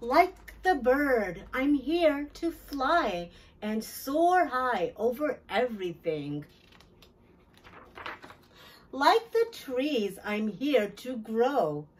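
A middle-aged woman hosting a children's storytime, reading a picture book aloud with deliberate, clear phrasing for young listeners, picked up from a little distance. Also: sits high, big pitch swings. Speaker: children's storytime host and teacher. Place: small decorated classroom-style storytime corner, indoors.

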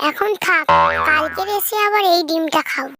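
A performer voicing a mischievous, always hungry trickster fox with a childlike, squeaky, singsong delivery in a children's fairy-tale cartoon. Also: moderate pace, music in the background. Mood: eager, amazed. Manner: singsong, repeats a nonsense rhyming catchphrase.

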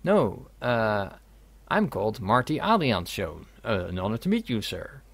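A young man talks through a video game in a casual, jokey way and reads its dialogue aloud. His voice has a gravelly edge. The sound is dry and close. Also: fairly low pitch, sing-song, measured pace, no room sound. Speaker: gaming video host. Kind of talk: casual let's play commentary.

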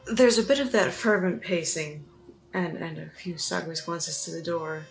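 A woman's voice: fairly low pitch, normal speed, gravelly, slight background noise, poor audio. A woman playing a tabletop role-playing game, narrating what her character does. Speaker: player in a tabletop role-play podcast.

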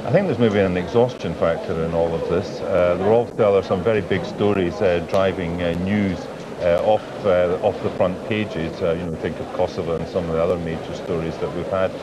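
A middle-aged male politician interviewed at an election count hall, answering in a measured way with many fillers. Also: low-pitched, fairly even intonation. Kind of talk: live election night interview. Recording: dull broadcast audio with hall noise.

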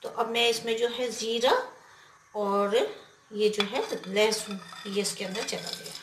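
A woman's voice: mid-range voice, steady tone, unhurried. A woman hosting a home cooking video, talking through each step in a casual, chatty way with small hesitations as she cooks at her stove. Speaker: home cooking channel host. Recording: roomy kitchen with loud cooking noise. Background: frying, sizzling and stirring in a pressure cooker.

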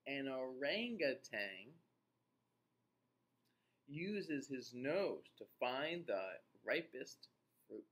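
A man in his forties reading a picture book aloud to young children in an expressive, teacher-like way, with playful asides. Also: medium pitch, very slow, pauses often. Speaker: children's read-aloud host. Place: small indoor room.

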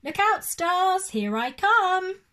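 A woman's voice: very high-pitched, sing-song, slow, sharp tone, pitched above the performer's own voice, heavily acted. A woman voicing a very small, boastful male frog in a storybook.

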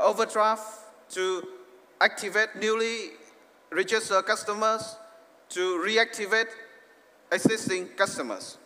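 A man in his forties with a Vietnamese accent, giving a corporate keynote on a big stage through a headset mic. He is earnest and halting, with frequent restarts. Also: high-pitched, sharp tone. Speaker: keynote speaker. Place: large arena stage. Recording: echoey hall, long reverb.

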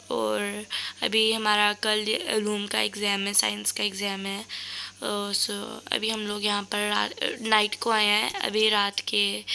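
A woman with a sore throat narrates a shopping vlog over the footage. Her voice sounds gravelly and a little rough, yet bright and sharp, set slightly high with a flat, even pitch. A faint hiss sits behind her. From Pakistan. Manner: cheerful, chatty, points things out to viewers. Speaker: vlogger. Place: voice-over recorded later, indoors.

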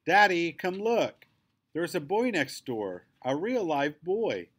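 Guy doing a gushy chatty little storybook girl, friendly, pitch up and swooping. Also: big pitch swings, takes it slowly, a bit bright, breathy edge, exaggerated voice. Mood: excited, insistent. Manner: gushing, emphatic.

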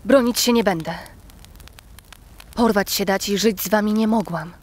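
An actress playing a proud, earnest young priestess in an audio drama, with a solemn, dramatic manner. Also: female voice, medium pitch, normal speed, close to an everyday voice. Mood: solemn, resigned.

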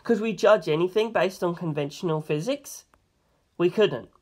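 Story narrator, mid-range pitch, reading first-person fiction audiobook-style. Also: moderate pace.

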